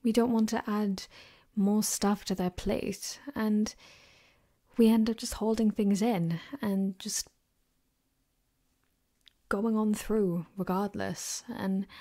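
A woman playing a gentle, caring girlfriend in an ASMR roleplay, speaking softly and hesitantly, sometimes whispering, close to the mic. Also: mid-range voice, takes it slowly, pauses often. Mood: tender, reassuring. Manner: soft-spoken, hesitant, rambling. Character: gentle caring girlfriend, comforting her partner. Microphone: close binaural microphone.

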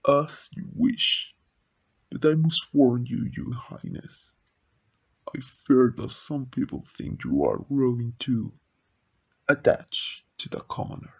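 Slow, gravelly, stern court lord, acted by a man. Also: medium pitch, sing-song, exaggerated voice. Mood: suspicious, warning. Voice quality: gravelly, husky. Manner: measured, courtly, deliberate. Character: stern, formal, suspicious Lord Master of the royal court. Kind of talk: slow ASMR audio roleplay acting.

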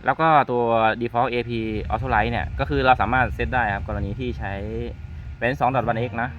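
Male trainer, mid-pitched, informal demo delivery with repeated words, muffled lo-fi sound. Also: steady tone, moderate pace. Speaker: product trainer demonstrating network testers. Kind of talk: informal hands-on product walkthrough. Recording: muffled lo-fi audio, music underneath. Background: background music.

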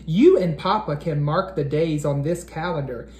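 Man voicing a gentle mother rabbit, echoey. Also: fairly high pitch, moderate pace, close to an everyday voice. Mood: gently reassuring. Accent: American. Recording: roomy echo, background noise.

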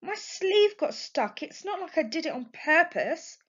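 A woman's voice: extremely high pitch, big pitch swings, measured pace, fairly bright tone, voice pushed up, exaggerated voice. A woman voicing a defiant, indignant young girl in a storybook. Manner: sulky, indignant. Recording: dull lo-fi home recording.

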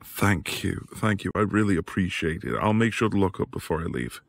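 Male actor as bookish professor husband, low gravelly voice, soft-spoken, apologetic, affectionate, intimate ASMR roleplay, very close dry sound. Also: lively intonation, brisk, no room sound, full character voice. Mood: soft, flustered.

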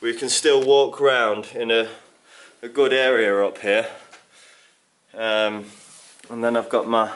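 A man's voice: mid-range voice, little pitch movement, slow, pauses often, fairly bright tone. A young man filming himself on a handheld camera in a barn under construction, explaining his building work casually with fillers. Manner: explanatory, thinking aloud with fillers. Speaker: DIY builder vlogger. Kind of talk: casual walkthrough narration. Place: indoor barn under construction, stone walls. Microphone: handheld camera at arm's length.

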